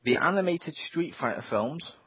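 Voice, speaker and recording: A man hosting a casual gaming podcast. He talks in an animated way, swears a lot and acts out his own reactions. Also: fairly high pitch, normal speed, husky, no echo. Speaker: podcast host. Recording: dull lo-fi podcast audio.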